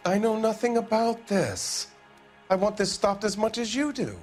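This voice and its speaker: An actor playing a pompous, evasive middle-aged factory owner who blusters and dodges questions, with music behind him. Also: male voice, high voice, normal speed, close to an everyday voice. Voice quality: blustering, defensive. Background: music score.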